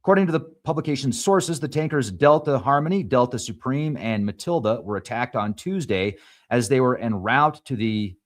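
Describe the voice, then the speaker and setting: A man's voice: medium pitch, lively intonation, moderate pace. Man reading news with offhand asides. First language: not English. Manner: reads articles aloud, stumbles on names, adds asides. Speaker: show host. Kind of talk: reading news headlines with commentary.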